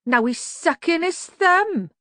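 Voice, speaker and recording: A spiteful, childish male thug, put on by a woman, jeers in a storybook. The voice jumps high into a mocking playground sing-song. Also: lively intonation, takes it slowly, a bit bright, no echo, studio quality, exaggerated voice. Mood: childish jeering. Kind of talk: audiobook character voice.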